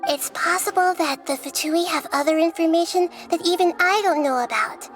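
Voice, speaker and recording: A young goddess of wisdom with a high, gentle voice. She explains calmly and thoughtfully, measuring every word. Soft music plays faintly underneath. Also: normal speed. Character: young-looking female god of wisdom, calm and gentle. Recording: game audio with faint music.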